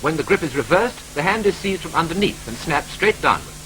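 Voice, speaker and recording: A British man narrates a wartime training film in a clipped, wry newsreel manner. His voice is bright and forceful and swings up and down. A faint hiss of an old film soundtrack runs beneath him. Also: fairly high pitch, expressive, poor audio. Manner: brisk, clipped, wryly matter-of-fact.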